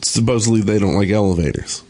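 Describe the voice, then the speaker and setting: A man co-hosting a podcast, chatting casually in an animated, playfully argumentative way. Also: fairly low pitch, fast talker. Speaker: podcast co-host. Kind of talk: casual chat.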